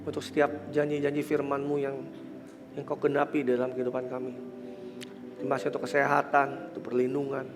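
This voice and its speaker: A man's voice: medium pitch, completely flat delivery, brisk, long reverb tail, music in the background. A man with an Indonesian accent and a congested, nasal voice, preaching a church sermon and leading prayer, mixing in English phrases. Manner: mixes English phrases into speech, rises to emphatic preaching; soft murmured prayer. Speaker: pastor. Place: large church hall.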